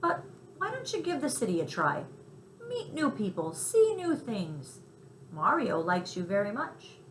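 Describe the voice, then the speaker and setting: A woman voicing a brash, friendly, streetwise male mouse in a storybook, talkative and a little squeaky. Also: wildly swooping pitch, unhurried. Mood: friendly, chatty. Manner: talkative, scornful of snobs, city slang. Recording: roomy echo, faint background noise.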